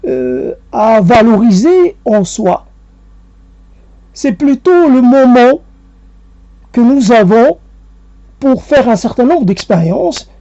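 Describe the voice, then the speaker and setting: A woman giving a reflective spoken monologue, hesitant and pausing often as she searches for her words. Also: a little high, mellow, no room sound. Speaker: speaker addressing listeners. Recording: dull lo-fi recording.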